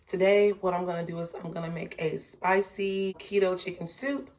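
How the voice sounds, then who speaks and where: A hoarse woman who has lost her voice, casually and tiredly talking through a recipe in her home kitchen. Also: level tone, moderate pace, darkish tone. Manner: tired, low-key, chatty with fillers. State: hoarse, lost voice from sinus infection. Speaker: home cook vlogger. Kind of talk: casual cooking demonstration. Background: sizzling and frying in a pan.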